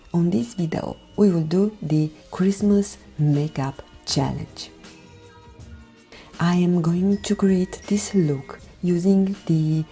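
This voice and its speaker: Slow, soft-spoken Romanian woman. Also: fairly low pitch, vocal fry. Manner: soft, slow, careful delivery, often near a whisper. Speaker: beauty vlogger. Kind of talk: voiceover over a makeup tutorial. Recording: voiceover with background music.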